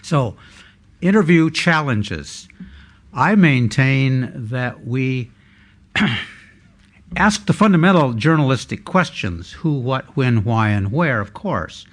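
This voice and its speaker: Older man presenting, halting and measured. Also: mid-range voice, big pitch swings. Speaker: researcher presenting at a workshop. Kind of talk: conference presentation with slides.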